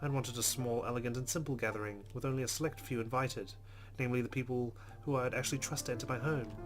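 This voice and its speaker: Male let's player reading a visual novel aloud, mid-pitched, with clear music underneath. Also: steady tone, fast. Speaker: let's play host. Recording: music behind the voice.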